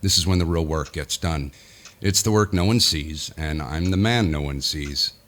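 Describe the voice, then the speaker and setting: Weary self-important businessman, very low voice, confiding, theatrical, horror audio drama narration. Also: normal speed, a bit bright. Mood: brooding, ominous. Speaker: lead actor narrating in character.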